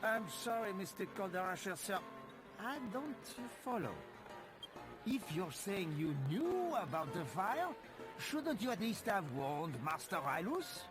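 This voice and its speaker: Casting: nervous, deferential innkeeper in a game; high, lively voice, hesitant, music clearly behind. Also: male voice, a little slow. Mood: puzzled. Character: timid, polite, exasperated male pub landlord. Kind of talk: video game character acting.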